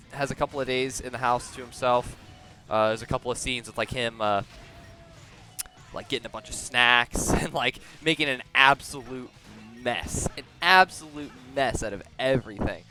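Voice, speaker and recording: A young man giving a casual movie review close to the microphone, halting and often repeating words as he starts over. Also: medium pitch, pushed and bright. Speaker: video reviewer. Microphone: very close to the mouth.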